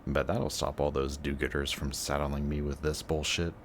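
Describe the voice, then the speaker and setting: A man's voice: a bass voice, flat delivery, moderate pace, slight background noise. A young man playing a cocky, sarcastic antihero villain with a secretly kind streak, talking in casual, teasing banter in a roleplay audio drama. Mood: grumbling, bored. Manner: casual, sardonic, teasing banter with muttered asides.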